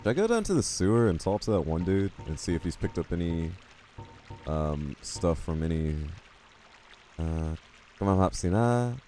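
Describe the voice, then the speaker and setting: Low-voiced man chatting while streaming a game. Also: very animated, moderate pace, mellow, soft background music. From United States. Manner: rambling, self-deprecating, reads chat aloud. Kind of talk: casual gameplay commentary, chatting with viewers.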